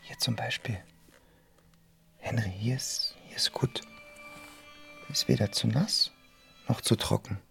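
An actor playing a quiet young poet and bricklayer, gentle and brooding, in a German radio play. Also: male voice, mid-range voice, fairly even intonation, takes it slowly, gravelly, pauses often, faint music behind, exaggerated voice. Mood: matter-of-fact, hesitant. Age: young adult.

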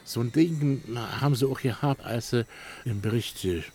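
A man's voice: mid-range voice, normal speed, darkish tone, a little hiss or hum, lightly acted. An actor playing a sceptical, teasing village man with colloquial, dry wording in a naturalistic radio play. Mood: recalling. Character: grumbling, sceptical village man, mocking but curious.

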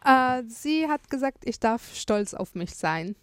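A woman from Syria, a TV journalist speaking as a radio guest, thoughtful and pausing often to find words. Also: fairly high pitch, expressive, smooth, no echo, clean studio sound. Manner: thoughtful, searches for words with frequent hesitations. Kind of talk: radio interview.

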